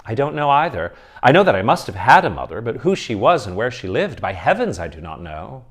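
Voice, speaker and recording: A man voicing a nervous, excitable young male student of philosophy who protests in flustered exclamations. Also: mid-range voice, fairly quick, husky, full character voice. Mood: pleading.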